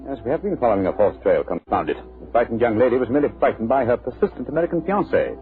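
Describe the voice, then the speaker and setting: A man's voice: medium pitch, big pitch swings, very fast. A man playing a shrewd, confident English detective with a clipped, incisive manner, in a 1940s radio drama. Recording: dull old radio recording, music behind.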